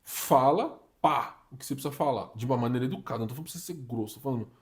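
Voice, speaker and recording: A young man from Brazil, giving casual career advice in an animated, slangy way. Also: medium pitch, racing. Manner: slangy and animated, uses "tá ligado", "mano", "papo reto". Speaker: career vlogger giving communication tips.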